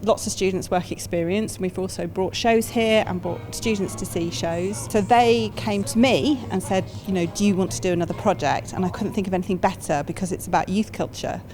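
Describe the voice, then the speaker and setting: A woman's voice: medium pitch, brisk, few pauses. A woman speaking as a project organiser in a documentary interview. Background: music.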